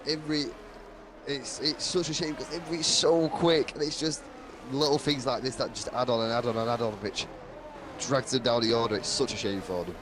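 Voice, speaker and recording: A man's voice: medium pitch, steady tone. Man, colour commentator, analytical, dry throat, noisy recording. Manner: analytical, measured explanations. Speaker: co-commentator and driver. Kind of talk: sim racing colour commentary.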